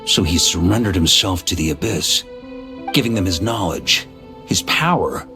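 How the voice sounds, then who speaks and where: An actor voicing an easygoing, improvising veteran knight leader in a video game, with a breezy manner. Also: male voice, deep voice, lively intonation, normal speed. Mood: grave realization. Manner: breezy, hesitant asides between confident explanation. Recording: music behind the voice.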